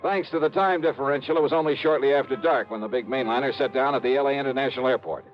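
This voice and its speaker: An American man playing a brisk, wry, confident insurance investigator in a 1950s-style radio detective drama. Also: mid-range voice, natural voice. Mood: wry, businesslike. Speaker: lead actor and narrator of a detective series. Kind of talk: 1950s radio detective acting, first-person narration. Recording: dull vintage radio recording with music.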